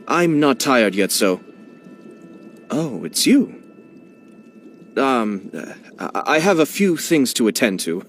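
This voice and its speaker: An actor voicing a genteel, scheming young male clan head in a video game, speaking in a smooth, measured and courteous way with quiet amusement. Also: medium pitch. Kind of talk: video game character voice lines.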